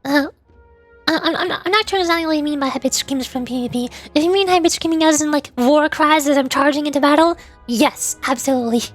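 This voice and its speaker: A woman's voice: sits high, quick pace, faint music behind. A woman livestreamer chatting casually with viewers, rambling and often repeating words as she forms her thoughts. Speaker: VTuber livestreamer.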